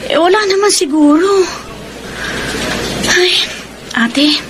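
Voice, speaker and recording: A woman playing an anxious, gentle wife and mother who misses her husband, in a Filipino radio drama. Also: very high voice, slow, background noise. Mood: hesitant. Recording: music bed behind dialogue.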